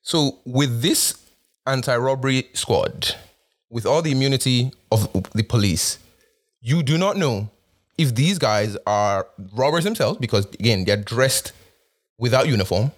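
A young man from Nigeria with an accent shaped by both Nigeria and North America, talking casually with fillers and restarts. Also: medium pitch. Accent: Nigerian with North American influence. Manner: conversational, with frequent fillers and repeated restarts. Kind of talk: casual monologue.

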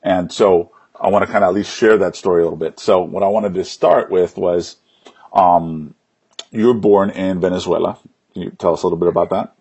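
A man with a deep, husky voice hosts a live interview. He phrases things informally and a little haltingly, in the casual style of an online show host. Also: steady tone, a little mellow.

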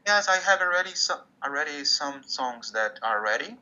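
A man's voice: on the high side, animated, normal speed, pushed and bright. A man whose first language is Portuguese, a musician guest speaking English with frequent restarts, heard over a video call. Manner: hesitant, restarts often, searching for English words. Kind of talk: interview conversation. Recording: dull lo-fi sound.

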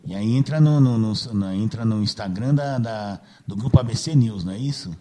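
A man's voice: fairly low pitch, fairly quick, mellow, slight background noise. A man hosting a casual radio chat, tagging nearly every phrase with "né" to seek agreement. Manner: chatty, filler-heavy, seeks agreement with "né". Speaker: radio host.

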